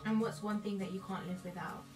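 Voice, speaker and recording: A woman's voice: mid-range voice, fairly even intonation, fairly quick, roomy sound, music in the background. A woman friend reading out interview questions in a short, dry manner.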